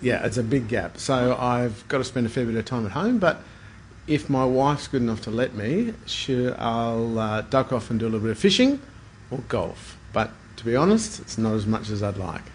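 A man's voice: medium pitch, expressive, normal speed, a little hiss or hum. A middle-aged man with an Australian accent, chatting as an interview guest in an unassuming, hesitant way. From Brisbane, Australia. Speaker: guest, plastic surgeon. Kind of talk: casual interview chat.

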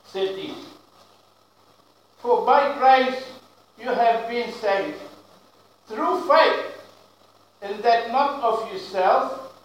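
A high-voiced male pastor preaches a loud, emphatic sermon in English that is not his first language, with broken grammar. He talks slowly and stretches key words for weight. His voice rings around a very echoey church. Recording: very echoey room.

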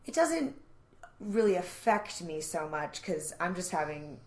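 A young adult woman co-hosting a podcast in casual chat, animated and self-described dramatic, with lots of fillers. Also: on the low side, unhurried. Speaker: podcast co-host.

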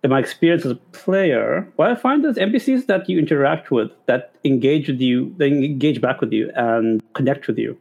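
A man's voice: medium pitch, expressive, moderate pace, creaky, hardly any pauses, darkish tone, slightly rough, highs cut off. A man from Cape Town, chatting on a panel about gaming, rambling with chuckles and restarts. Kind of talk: casual chat on a panel.

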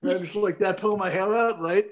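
A male podcast host, excitable and a bit neurotic, gushing praise at his guests. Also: sits high, fast. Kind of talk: casual chat.

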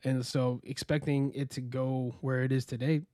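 A young American man telling his story as a podcast guest, relaxed and rambling with many fillers and restarts. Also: medium pitch, moderate pace, no room sound, clean studio sound. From United States. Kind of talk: casual interview chat.

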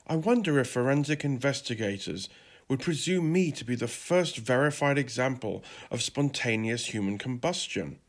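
Casting: male narrator as flamboyant failed male playwright, middle-aged, pompous and declamatory, gravelly edge, for storybook drama. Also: medium pitch, expressive, normal speed, pitched above the performer's own voice. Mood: wistful, self-dramatizing. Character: flamboyant, theatrical, grey-haired failed playwright, self-pitying and grandiose.

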